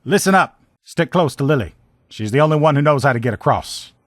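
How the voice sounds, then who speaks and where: A man's voice: on the high side, expressive, a little fast, no echo, studio quality, natural voice. A man playing an upbeat, loyal army lieutenant and armor mechanic, with folksy, informal soldier talk, as video game dialogue. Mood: firm, confident.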